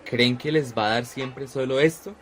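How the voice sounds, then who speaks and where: A man's voice: medium pitch, normal speed, a bit bright, natural voice. An actor playing Esteban, an earnest male disciple who speaks to a crowd in a solemn, preaching way, in a radio drama.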